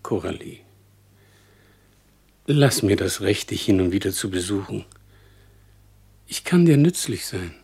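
An actor playing a hurt, gentle rich lover in a radio play, husky and halting as he pleads. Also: fairly low pitch, animated, heavily acted. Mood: pleading, sorrowful. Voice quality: husky, breathy. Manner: slow, halting, subdued. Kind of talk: German radio play acting.

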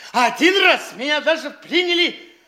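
High-voiced boastful young fop. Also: male voice, animated, background noise. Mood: boastful, excited. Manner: affected, gushing, drawn-out gallantry. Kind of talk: theatrical classic stage comedy acting.

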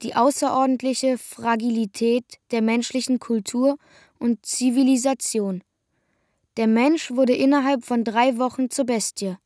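An actress reciting a sober testimony of camp life in measured, matter-of-fact short phrases for a radio drama. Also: female voice, a little high, a little mellow, no echo, studio quality. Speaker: narrator. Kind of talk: literary first-person testimony, radio drama.

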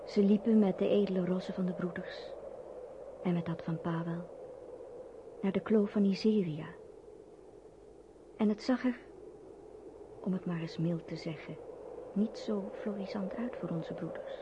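A woman narrating a radio drama as a grave storyteller of a dark winter adventure. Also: medium pitch, fairly even intonation, a little slow, pauses often, lightly acted. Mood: measured, grave. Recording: muffled, noisy radio drama recording.

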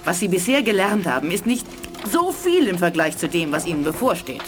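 A woman's voice: fairly low pitch, big pitch swings, brisk, faint music behind. An actress playing a proud, condescending alien woman in a 1980s German radio play. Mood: disdainful. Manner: cool, condescending. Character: proud, haughty, sceptical female alien commander.

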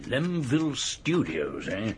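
An actor playing a gruff, blunt upper-class English colonel in a radio drama. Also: male voice, mid-range voice, huge pitch swings, takes it slowly, slight background noise. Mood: gruff, disapproving.

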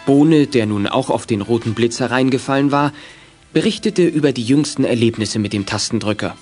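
A man's voice: medium pitch, brisk, a little mellow, soft background music, natural voice. A man narrating a children's detective audio drama as a composed, scripted storyteller. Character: composed male storyteller of a youth detective tale.

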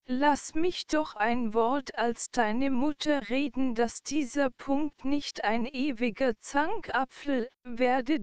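A woman's voice: high voice, clear, resonant tone, no room sound. An actress playing a worried, loving, admonishing mother in a German radio play, with careful, pleading, old-fashioned phrasing.

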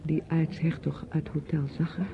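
A young actress playing a meek, literal-minded niece in a radio play, subdued and hesitant, with a husky, breathy voice. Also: female voice, low-pitched, level tone, normal speed, a little hiss or hum. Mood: puzzled. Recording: muffled old radio recording.

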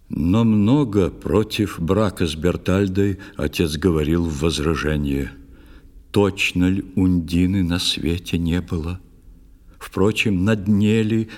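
A man solemnly reciting a poetic tale as narrator of an audio play, measured and expressive. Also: low-pitched. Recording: long hall reverb, music behind.

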